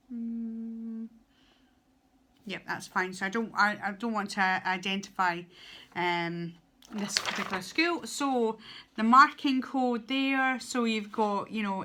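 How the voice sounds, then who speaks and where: A woman's voice: a little high, bright, forceful tone, a little hiss or hum. A young Scottish woman, a student teacher, giving casual advice in a vlog with lots of ums and restarts. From Scotland. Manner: chatty, hesitant, many ums and restarts.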